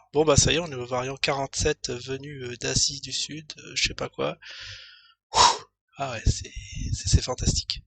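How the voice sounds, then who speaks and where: A man's voice: mid-range voice, gravelly, pushed and bright. A man streaming, reading a news article aloud and rambling through it with lots of asides. He has a slight head cold and his throat is starting to go. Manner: rambling, thinks aloud with many fillers and asides.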